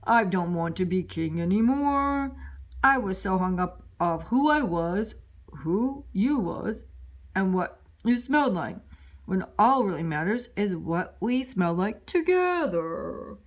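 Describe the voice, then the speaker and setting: A woman voicing a loopy, lovestruck lemur king from a storybook, in a slow, drowsy drawl. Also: mid-range voice, very animated. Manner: slow, drowsy, drawn-out delivery.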